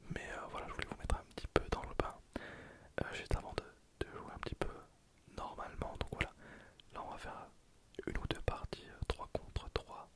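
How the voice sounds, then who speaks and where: ASMR game streamer: fully whispered, soft, casual delivery, thinking aloud while playing. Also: normal speed. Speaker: ASMR gaming video creator. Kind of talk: whispered ASMR gameplay commentary.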